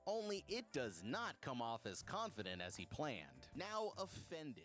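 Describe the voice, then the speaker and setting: A man's voice: a little high, wildly swooping pitch, unhurried, a bit bright, no echo. A man reading a scripted, casual movie recap as a video narrator, in a slangy, jokey storytelling manner. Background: music.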